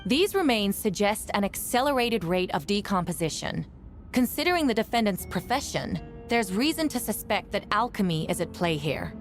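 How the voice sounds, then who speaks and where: A woman playing a composed, firm female knight prosecutor in a video game, speaking formally and deliberately. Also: medium pitch.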